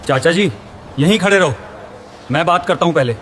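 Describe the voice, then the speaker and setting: A man playing a principled, polite soldier with an earnest, respectful manner in a scripted animated moral story. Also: on the high side, normal speed, noisy background, close to an everyday voice. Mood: reassuring. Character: principled young male soldier, polite and steadfast.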